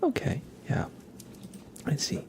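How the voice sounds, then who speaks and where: A soft-spoken man whispering gently and politely in a casual ASMR livestream, chatting with viewers and reading cards. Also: takes it slowly, noisy background.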